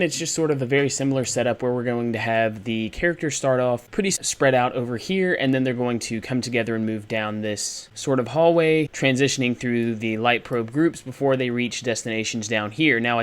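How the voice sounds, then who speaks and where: A man hosting a screencast software tutorial, explaining things step by step in an informal, casual way. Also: medium pitch. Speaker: tutorial host.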